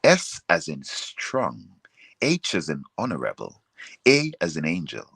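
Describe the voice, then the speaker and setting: A man's voice: deep voice, huge pitch swings, measured pace, gravelly, fairly bright tone, husky, dry recording. A man with a Jamaican accent chatting casually as a singer being interviewed and promoting himself.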